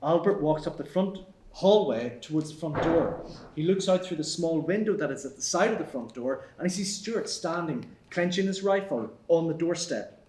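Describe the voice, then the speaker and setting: A man reading stage directions aloud at a live table read, even and matter-of-fact, in an echoey theatre. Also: fairly high pitch, moderate pace, slight background noise. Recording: echoey hall.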